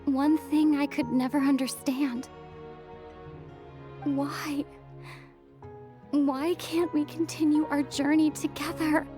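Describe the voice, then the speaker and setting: A yearning young sister in a game, high tender voice, slow, music behind. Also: female voice, level tone. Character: earnest young heroine, yearning long-lost twin sister. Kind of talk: scripted game voice acting. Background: background music.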